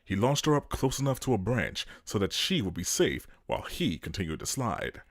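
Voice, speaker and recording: Low-voiced male narrator, animated delivery. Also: moderate pace, no room sound, clean studio sound. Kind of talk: dramatic scripted narration.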